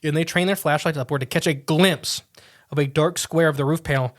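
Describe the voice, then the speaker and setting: A man's voice: fairly high pitch, fairly even intonation, brisk, fairly bright tone. A man co-hosting a podcast, reading a strange tale aloud with casual asides, often tripping over words and restarting. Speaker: podcast co-host.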